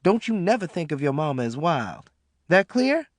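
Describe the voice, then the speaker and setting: A man's voice: on the high side, big pitch swings, normal speed, no echo, studio quality, voice pushed up. A man putting on a fearless matriarch. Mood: boastful. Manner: bold, emphatic dialect speech. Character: fearless tough older Southern woman, boastful.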